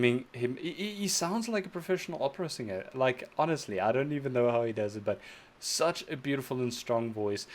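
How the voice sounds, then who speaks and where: Young male reaction host, gushing and enthusiastic, average pitch. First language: Afrikaans. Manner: effusive, enthusiastic, thinks aloud with restarts. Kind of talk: casual reaction commentary.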